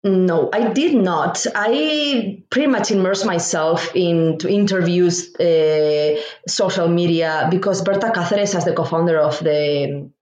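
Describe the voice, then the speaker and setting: Brief: woman from Spain, academic guest, measured and reflective, roomy recording. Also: on the low side, a little mellow. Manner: measured, thoughtful, pauses to find words. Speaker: guest author, professor. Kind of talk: academic interview answers. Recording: roomy echo.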